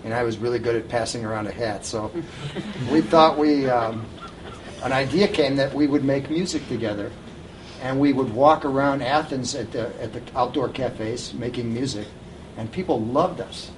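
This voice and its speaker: A man's voice: medium pitch, moderate pace, recorded from a distance, background noise. An older man telling informal anecdotes to a live audience, recorded at an event. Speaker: guest storyteller at a reunion event.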